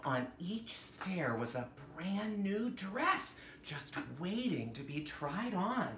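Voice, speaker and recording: A man hosting storytime in drag, reading a picture book aloud theatrically with dramatic gasps, drawn-out words and playful asides. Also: sits high, very animated, fairly bright tone. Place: indoor room with fabric backdrop. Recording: roomy echo, some background noise.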